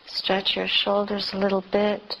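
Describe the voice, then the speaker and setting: A woman teacher leading a beginner's guided meditation, giving calm, gentle instructions with long pauses. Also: mid-range voice, gravelly, a little hiss or hum, rough recording. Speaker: Buddhist nun teaching meditation.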